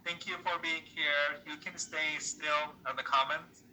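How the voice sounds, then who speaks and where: A man's voice: a little high, fairly even intonation, a little slow, bright, forceful tone, slight background noise, rough recording. A man hosting a live English conversation lesson, chatty and playful, teasing his guest and laughing often. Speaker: English teacher, livestream host. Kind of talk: casual live lesson chat.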